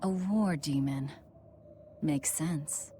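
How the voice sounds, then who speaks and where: An actress playing a cold, guarded young female demon fighter with a curt, deadpan manner in a video game. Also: deep voice, very slow, soft background music. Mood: dry. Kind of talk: English video game voice acting.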